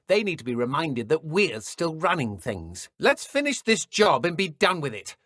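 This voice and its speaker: Sneering British dark witch, wildly swooping delivery. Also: low voice, very animated, normal speed, dry recording, clean studio sound, full character voice. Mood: weary grumbling. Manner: taunting, theatrical, condescending. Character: cruel, sneering dark witch poacher, smug and mocking. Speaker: video game voice actor. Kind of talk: villain combat and idle barks for a fantasy game.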